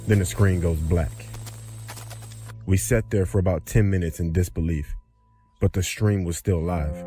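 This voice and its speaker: A man narrating a scripted horror story. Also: low voice.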